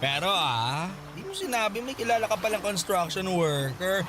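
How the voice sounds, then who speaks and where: A man acting as a campy, judgmental best friend in a radio drama. His voice sits fairly high and swoops dramatically through sassy exclamations, with clear noise behind it. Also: big pitch swings, moderate pace. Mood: playful, nosy. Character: gossipy, campy male friend, teasing and judgmental.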